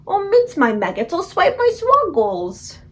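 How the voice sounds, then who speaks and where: A woman's voice: medium pitch, very animated, brisk, close to an everyday voice. A woman putting on a fussing kindly old giant. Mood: agitated. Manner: mangled grammar, invented words, exclamatory. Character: excitable old male giant, kindly, garbled speech.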